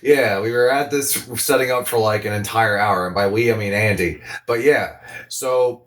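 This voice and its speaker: A man's voice: lowish voice, moderate pace, fairly bright tone. Joking man, slightly off the microphone. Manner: crude, joking. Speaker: podcast co-host. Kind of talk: casual comedic chat. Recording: distant from the microphone.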